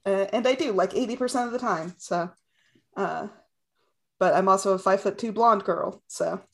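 A woman's voice: mid-range voice, normal speed, creaky. A woman in casual podcast chat who talks hesitantly and makes fun of herself, with many "um"s. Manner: self-deprecating, hesitant, frequent fillers.

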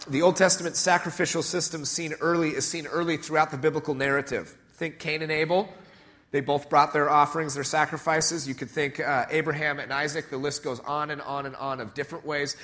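A man's voice: fairly high pitch, steady tone, brisk, a bit bright. Man preaching with a stuffy nasal cold. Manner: conversational, many fillers and restarts. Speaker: pastor. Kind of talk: sermon.

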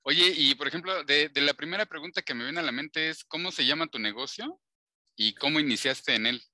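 A man's voice: a little high, a little fast, pushed and bright, dry recording. A man hosting a live interview, chatty and informal. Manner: chatty, informal, with conversational tags. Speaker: interview host.

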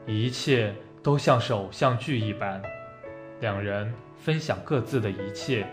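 Male narrator over instrumental music. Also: mid-range voice.

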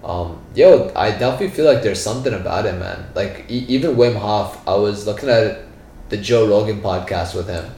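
A man's voice: on the low side, brisk, dark tone. Slangy young man, roomy echo. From born in India, raised in Brampton, Canada. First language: Punjabi. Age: 20s. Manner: slangy, rambling, full of "yo" and "bro". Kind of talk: casual chat. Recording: roomy echo, faint background noise.

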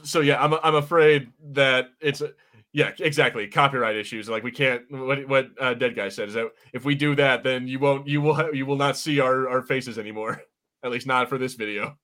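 A man's voice: mid-range voice, normal speed, sharp tone. A man co-hosting a podcast, giving casual watch-party commentary in a chatty, slangy way with some swearing. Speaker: podcast co-host.

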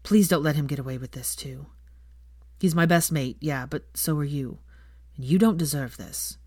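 A woman voicing an earnest, protective young man who speaks gently and haltingly as a concerned friend. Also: low voice, no echo, studio quality. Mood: concerned, downcast.

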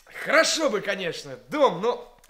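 A man's voice: high-pitched, big pitch swings, measured pace, sharp tone, heavily acted. A jokey young police detective for a radio drama. His delivery swings up and down a lot. He teases and complains with mock solemnity. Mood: mock-plaintive. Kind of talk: radio play acting.

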